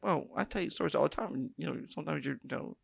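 A man's voice: on the high side, animated, rapid-fire, creaky, slight background noise. A man co-hosting a show, a math teacher telling a casual story about school in an easygoing, teasing way.

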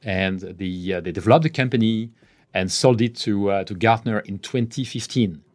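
A man in his fifties speaks English with a French accent. His voice sits on the low side, and he chooses his words carefully, pausing often and letting phrases fade quietly at their ends. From France. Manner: measured, often pausing mid-phrase, voice trailing off softly. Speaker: guest executive. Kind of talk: podcast interview answers.

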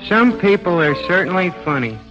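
An actor playing a naive, folksy American sidekick with homespun talk in a 1940s radio drama. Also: male voice, high voice, sing-song. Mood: musing. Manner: drawn-out, homespun. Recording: muffled 1940s radio recording with music.

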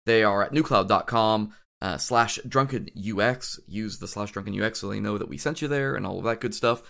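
Slightly hoarse, gravelly-voiced male podcast host. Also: on the low side, brisk, a bit bright. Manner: rambling, chatty, many restarts and ums. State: slightly hoarse from shouting at a game. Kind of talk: casual podcast chat.

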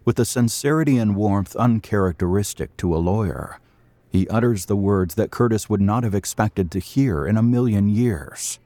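Male storyteller for scripted drama narration. Lowish register, deliberate phrasing, very dry recording. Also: mellow, dry recording.

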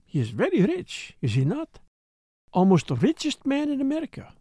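A woman's voice: high-pitched, wildly swooping pitch, moderate pace, dark tone, slightly rough, no echo, studio quality, voice pushed up, full character voice. A woman playing a sultry, lilting French dancer. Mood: musing, covetous. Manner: languid, teasing, non-native phrasing with French endearments.

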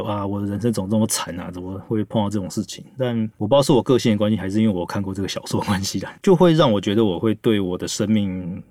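A man in his thirties speaks quickly in a lowish voice with a Taiwanese Mandarin accent. He explains himself at length in an earnest, casual way, and the sound is dry and close. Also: a little mellow, no room sound. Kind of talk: casual reflective talk.

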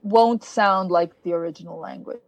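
A woman's voice: fairly low pitch, moderate pace, no echo. A woman with a foreign accent, a guest on a podcast, explaining things earnestly with hesitations. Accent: foreign-accented English. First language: not English. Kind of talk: casual interview chat.

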